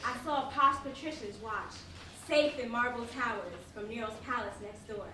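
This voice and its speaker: A woman acting an anguished, compassionate Roman witness despairing of injustice, with emotional, drawn-out theatrical delivery in a staged reading. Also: fairly high pitch, lightly acted. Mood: grieving. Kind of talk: dramatic monologue in staged reading. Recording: echoey hall, distant mic, faint noise.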